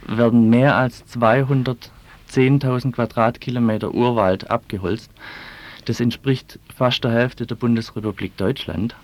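A man with a medium-pitched voice answers as an interviewed activist. His delivery is deliberate and formal, broken by small pauses as he builds each point. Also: darkish tone. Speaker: environmental activist being interviewed.

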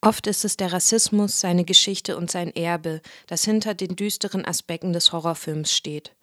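A woman's voice: lowish voice, fairly even intonation, moderate pace, sharp tone, no echo, clean studio sound. A woman reading scripted narration as a radio presenter.

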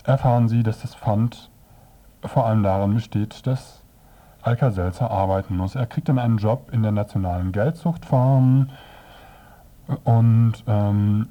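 A man presenting a scripted review on the radio as narrator, with measured, halting phrasing. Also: lowish voice, warm tone.

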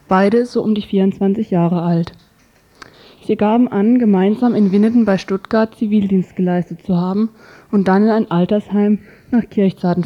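Woman reading a radio report off a script, small stumbles, muffled lo-fi sound. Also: medium pitch. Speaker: radio presenter. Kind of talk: scripted news report read aloud. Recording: dull lo-fi radio recording.

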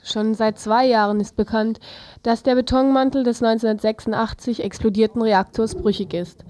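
A woman's voice: fairly high pitch, dark tone, no room sound. A woman reading a scripted news report as a presenter.

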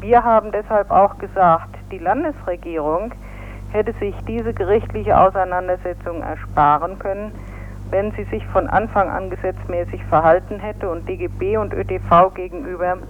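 A woman's voice: mid-range voice, a little hiss or hum. Woman speaking formally over a tinny phone line. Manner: formal, measured, legalistic phrasing. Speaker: union press spokesperson. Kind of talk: phone interview statement. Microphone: telephone.

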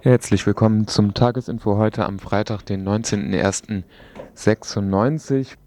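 Male radio news host, deep mellow tone, scripted welcome over faint music. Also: steady tone, normal speed. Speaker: news programme presenter.

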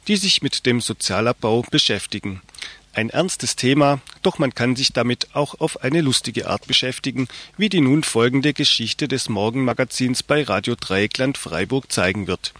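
A man's voice: mid-range voice, fairly bright tone. A man presenting a radio magazine, reading scripted announcements.

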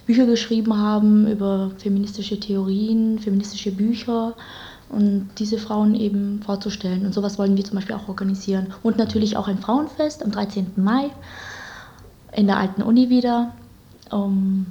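Mellow-voiced young woman, radio guest. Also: medium pitch, steady tone, normal speed, muffled recording. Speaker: interview guest, student activist. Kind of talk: casual, spontaneous interview answers.

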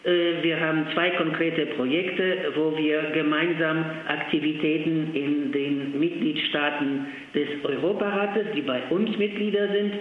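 A man's voice: fairly high pitch, fairly even intonation, a little hiss or hum. Man on an echoey phone line. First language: Polish. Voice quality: halting, many filled pauses. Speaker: interview guest, language centre official. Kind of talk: phone interview. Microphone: telephone.

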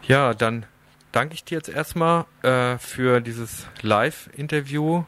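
A man's voice: mid-range voice, slow, fairly bright tone. A man hosting an informal live radio interview, with a hesitant, wordy way of putting things.

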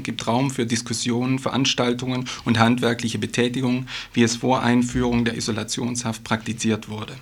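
A man reads scripted radio news in an even, little-varied pitch. His voice is husky and he sometimes corrects a slip. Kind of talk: scripted news report with slips.

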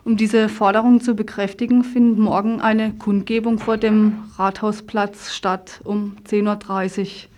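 Mellow-voiced woman presenting radio news. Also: mid-range voice, level tone, measured pace, few pauses. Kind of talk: scripted radio news and satirical commentary.

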